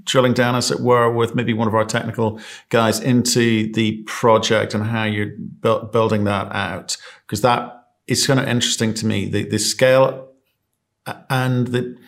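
A man's voice: fairly low pitch, level tone, normal speed, gravelly. A man hosting an investment interview podcast, asking probing questions in a chatty way.

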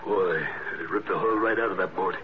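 A man plays a dim gangland henchman on a muffled, noisy old radio recording. His lowish voice is questioning and slangy, in vintage serial style. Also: a little fast, lightly acted. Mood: baffled, doubtful. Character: slow-witted, slangy gangster henchman, easily amazed. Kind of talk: 1940s radio acting.

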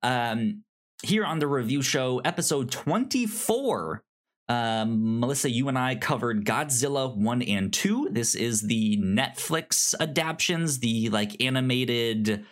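Male podcast host, medium pitch, unhurried and stumbling over word starts, casual recommendation chat. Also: expressive. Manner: halting, stammering with repeated word starts.

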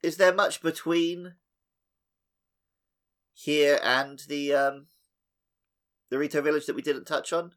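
A man's voice: fairly high pitch, steady tone, takes it slowly, long pauses, a bit bright. A man co-hosting a gaming podcast, bantering casually in a wry, joking manner. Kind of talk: casual banter about a video game.

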